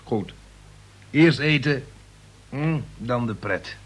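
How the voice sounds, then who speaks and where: A man's voice: medium pitch, lively intonation, slow, breathy edge. An actor playing a jovial, tipsy man in a Dutch radio play, with playful, florid, theatrical phrasing. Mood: drunk, playful. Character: tipsy, jovial man wooing his lady friend. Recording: noisy old radio play recording.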